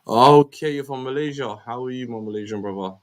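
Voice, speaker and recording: A man's voice: mid-range voice, fairly quick. Slangy British man hosting a live stream. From Somaliland. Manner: informal, slangy. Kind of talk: casual live chat.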